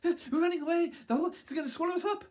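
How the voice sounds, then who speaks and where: A man's voice: very high voice, animated, fairly quick, a little mellow, husky, pitched above the performer's own voice, exaggerated voice. A man voicing gullible, panicking animals fleeing danger in a told story, urgent and breathless. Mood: frantic panic.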